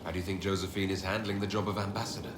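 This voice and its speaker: Male actor as calm, inquisitive fantasy commander for a game; lower register, courteous, roomy acoustic. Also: racing, background noise, natural voice. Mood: composed, inquiring. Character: composed, inquisitive male fantasy leader, the Herald. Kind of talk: video game dialogue acting. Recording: roomy sound, music behind.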